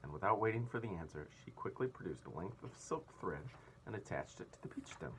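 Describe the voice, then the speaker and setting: A man narrates a children's story aloud. His voice is low and his intonation very animated and expressive. A faint layer of noise runs behind him. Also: fairly quick, rough recording.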